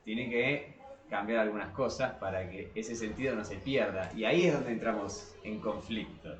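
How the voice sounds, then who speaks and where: A man with an Argentine Spanish accent in a casual podcast discussion, speaking haltingly and repeating words as he thinks aloud. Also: on the low side. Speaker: podcast co-host. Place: small cramped room below a staircase. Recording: roomy echo of a small room.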